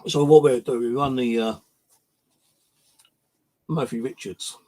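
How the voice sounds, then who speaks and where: A man's voice: medium pitch, steady tone, slow, background noise. A British man chatting casually on a livestream as its host, rambling and hesitant in an informal way.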